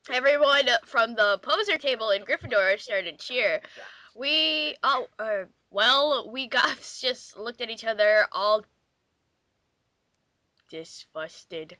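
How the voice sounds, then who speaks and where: A woman's voice: on the high side, lively intonation, takes it slowly, sharp tone, no room sound, rough recording. A woman reading aloud in an exaggerated, theatrical way as part of a group game. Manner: theatrical, exclaiming. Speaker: player in a dramatic reading game. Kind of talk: exaggerated dramatic reading among friends.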